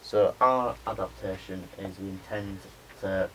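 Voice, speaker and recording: A young adult man, a media student giving a spoken pitch presentation to a class. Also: steady tone, a little slow. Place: indoor room with a small audience. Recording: noisy room recording.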